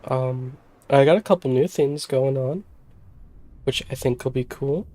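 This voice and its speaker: Woman, hoarse from being sick, dark mellow tone, rambling casual stream chat, desk mic. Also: extremely low pitch, unhurried. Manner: rambling, many fillers and restarts.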